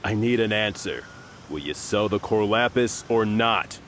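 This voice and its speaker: A brash enforcer from a video game. His voice is on the low side and swings animatedly as he leans on people with open menace. Faint noise sits in the background. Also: expressive, measured pace, a bit bright. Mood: menacing. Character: threatening, pushy male henchman of a crime boss.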